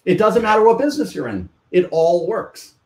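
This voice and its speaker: A man with a somewhat higher voice explains his ideas step by step, like a coach at work. He sits back from the mic in a room that rings with echo, so his voice sounds distant. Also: very animated, normal speed. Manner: explanatory, teaching with examples. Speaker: podcast guest, communication coach. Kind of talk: podcast interview.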